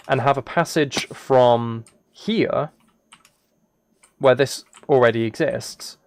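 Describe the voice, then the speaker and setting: A man chatting casually as a live game streamer, musing aloud in a relaxed, low-key way. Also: vocal fry, dry recording. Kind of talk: casual chat over Minecraft gameplay.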